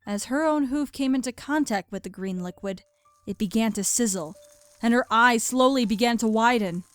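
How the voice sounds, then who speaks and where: A woman's voice: fairly high pitch, moderate pace, no room sound. A woman reading scripted story narration as the narrator of an audio drama.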